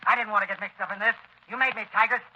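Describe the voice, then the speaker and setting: A dull old radio recording carries a desperate young crook. His voice is very high and sharp, rushing out earnest, agitated protests. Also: steady tone, exaggerated voice. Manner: agitated, urgent. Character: Harry Dale, desperate, earnest male reluctant young crook. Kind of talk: 1940s radio drama acting.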